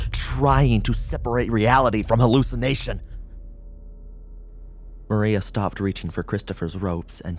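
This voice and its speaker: Deep, slow male horror narrator. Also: a little mellow, a little hiss or hum. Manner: slow, suspenseful, often dropping to a whisper. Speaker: audiobook narrator reading horror fiction. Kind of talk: dramatic scripted horror narration.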